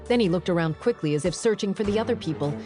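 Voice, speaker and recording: A woman narrating a dramatized audiobook in the first person, with wry, expressive storytelling. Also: lowish voice, quick pace, over music.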